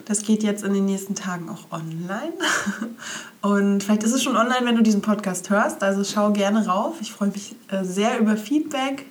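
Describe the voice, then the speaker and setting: A young woman hosts her own podcast, sounding stuffy from a head cold. Her voice sits at an ordinary middle pitch, and she talks to the listener in a cheerful, chatty way. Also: moderate pace. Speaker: podcast host. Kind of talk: casual solo podcast chat.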